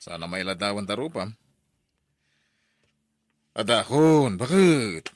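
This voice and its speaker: An actor playing a defensive, irritable cheating husband in a radio drama, with an Ilocano accent. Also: male voice, fairly low pitch, very animated, slow pace. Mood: irritated, defensive.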